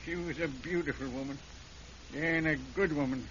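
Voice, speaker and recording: A man's voice: sits high, lively intonation, normal speed, fairly bright tone. An actor playing a simple-talking rural man who pretends to be mad but is really a killer, with a folksy drawl, in an old radio drama. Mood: broken. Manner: folksy drawl, old-fashioned backwoods talk. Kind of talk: 1940s radio acting. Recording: dull hissy old radio recording.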